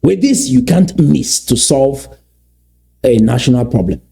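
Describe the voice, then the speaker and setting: Dark-voiced Cameroonian man lecturing. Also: medium pitch, sing-song, a little slow, gravelly. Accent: Cameroonian English. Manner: lecturing, asks rhetorical questions. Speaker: commentator. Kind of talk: commentary on played clips.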